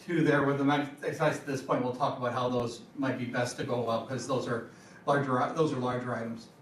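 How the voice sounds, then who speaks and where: A man answering questions at a school board meeting, casual and halting. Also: medium pitch, steady tone. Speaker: school superintendent. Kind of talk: explaining at a meeting. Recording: distant echoey room mic, faint noise.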